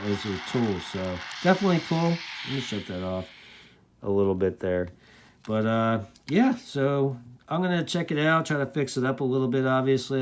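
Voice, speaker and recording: A middle-aged man presenting as a radio hobbyist, casually showing gear in a halting, conversational way. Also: mid-range voice. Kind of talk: casual hands-on gear demonstration. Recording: clear background noise.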